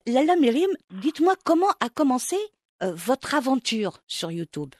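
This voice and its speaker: Lively, breathy-voiced woman host. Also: high voice, normal speed, dry recording, studio quality. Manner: lively, expressive presenter delivery. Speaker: interviewer, host. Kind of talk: scripted intro and interview questions.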